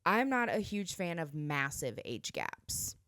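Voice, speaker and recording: A woman's voice: low voice, sing-song, a little slow, fairly bright tone, no echo, studio quality. A woman co-hosting a podcast in casual conversation, often repeating phrases for emphasis. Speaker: podcast co-host. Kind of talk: casual chat reviewing films and books.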